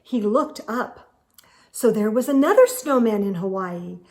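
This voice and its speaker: A woman storyteller reading a children's book aloud expressively, with deliberate phrasing and dramatic emphasis. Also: mid-range voice, animated, darkish tone.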